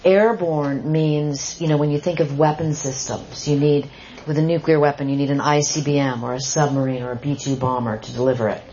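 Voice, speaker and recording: A woman's voice: low-pitched, steady tone, fairly quick, mellow. A woman author answering audience questions at a book talk, explaining points emphatically with frequent restarts. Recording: dull lo-fi live event recording.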